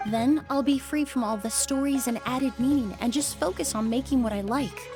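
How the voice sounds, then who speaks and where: An actress playing a refined young woman perfumer in a video game, polite, measured and composed. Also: sits high, over music. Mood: composed, earnest.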